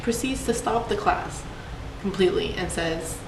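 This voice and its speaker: A woman's voice: fairly low pitch, normal speed, vocal fry. Chatty young woman from Puerto Rico. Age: young adult. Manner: chatty, frequent fillers with "like". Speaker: student. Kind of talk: personal storytelling for a class project. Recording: echoey, distant mic, background noise.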